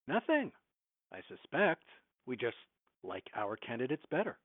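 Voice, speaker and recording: An actor playing a domineering, evasive film studio executive in an audio drama, condescending and clipped, heard over a thin phone line. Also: male voice, mid-range voice, huge pitch swings, unhurried, breathy edge, dry recording, heavily acted. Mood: cool, dismissive. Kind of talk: modern audio drama acting.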